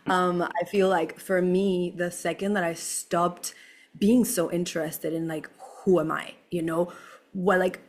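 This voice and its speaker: Young woman, lowish voice, effusive, laughs a lot, podcast guest. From Venezuela. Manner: effusive, laughs often, many fillers like "like". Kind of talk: casual conversation.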